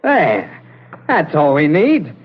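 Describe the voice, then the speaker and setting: A man's voice: a little high, wildly swooping pitch, takes it slowly, husky, highs cut off, exaggerated voice. A man playing an obliging, callous henchman, laconic and casually mocking, in radio drama style. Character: obliging, callous henchman who calls his boss Governor. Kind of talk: old-time radio drama acting.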